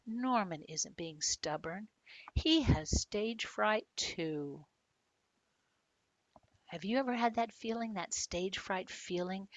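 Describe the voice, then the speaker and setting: Woman children's author, storybook read-aloud with chatty asides, lively pitch, dry close sound. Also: no room sound. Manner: expressive, dramatic pauses, questioning listeners. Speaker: children's author reading own book aloud.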